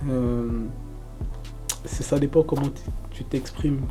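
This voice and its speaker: A young man from Congo answering interview questions casually, loose and relaxed, with lots of fillers. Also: mid-range voice, slow, over music. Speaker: rapper interviewee.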